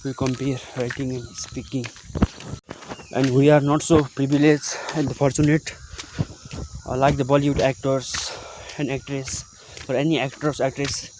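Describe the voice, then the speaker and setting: Flat-voiced man with Indian English accent. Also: mid-range voice. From Sikkim, India. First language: Nepali. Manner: hesitant, many pauses and "uh" fillers. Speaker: YouTube channel host. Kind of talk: casual unscripted talk to camera. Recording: clear outdoor insect and animal noise.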